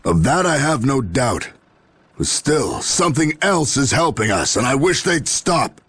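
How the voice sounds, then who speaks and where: Stern, suspicious general with swooping delivery. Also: male voice, mid-range voice, sing-song, unhurried, a bit bright, slightly rough, dry recording, full character voice. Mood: proud, stern. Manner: formal, stern, clipped military delivery. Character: gruff, proud, suspicious human military general. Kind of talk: dramatic video game voice acting. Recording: music under the voice.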